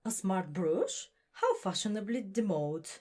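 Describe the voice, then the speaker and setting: A woman's voice: medium pitch, very animated, measured pace, warm tone. A woman playing a vain, indulgent celebrity diva in an audio drama, affected and haughty. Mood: gracious, self-satisfied.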